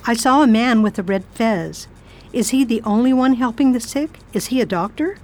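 A woman's voice: on the high side, normal speed, darkish tone, close to an everyday voice. A woman playing a determined volunteer nurse in a radio play, formal, forthright and insistent. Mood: polite, firm.

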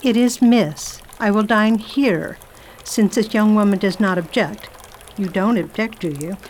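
A woman playing a self-assured, kindly lady traveller in radio theatre, speaking in a formal, deliberate and imperious way. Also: medium pitch, a little mellow, a little hiss or hum, lightly acted. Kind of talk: radio theatre acting and diary narration.

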